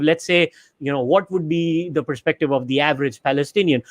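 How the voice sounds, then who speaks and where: A man hosts a podcast with a fairly high, lively voice that rises and falls a lot. He asks his question, then rephrases it more than once. The sound is dry and close. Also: dry recording. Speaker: podcast host. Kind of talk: interview questions.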